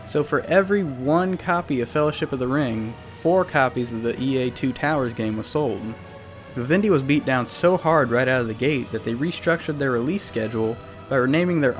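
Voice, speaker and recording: A man hosting a video series, reading a scripted retrospective in a wry, casually joking way. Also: mid-range voice. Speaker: video series host and narrator. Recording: music bed under voice. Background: background music.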